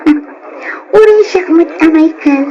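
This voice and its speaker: Earnest young wife in radio drama. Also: female voice, very high voice, normal speed, noisy background. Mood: sincere, tender. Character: sincere, practical young wife. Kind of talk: old-style radio drama acting. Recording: dull, lo-fi old radio recording.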